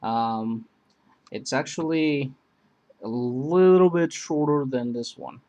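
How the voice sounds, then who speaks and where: A man casually demonstrating and comparing two emergency radios, rambling with many pauses and fillers. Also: medium pitch, warm tone. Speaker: product reviewer. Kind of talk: casual product demo.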